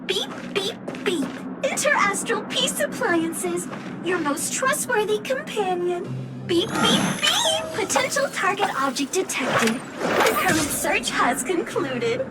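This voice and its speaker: A woman's voice: very high-pitched, very animated, slow pace, heavily acted. A woman voicing a chirpy, officious little robot appliance in a video game, reciting notices between spoken beeps. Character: chirpy beeping robotic lost-item finder appliance, officious. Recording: game audio under loud music.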